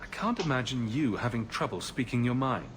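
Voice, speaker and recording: An actor playing a confident, wry and blunt fantasy hero in a video game. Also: male voice, mid-range voice, animated, a little fast, a bit bright, slight background noise, lightly acted. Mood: reassuring, firm. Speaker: game character.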